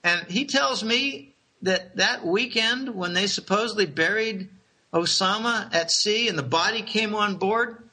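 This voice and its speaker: A man's voice: high voice, a little slow, sharp tone. A middle-aged man casually telling rambling, conspiratorial stories, chuckling and restarting his sentences.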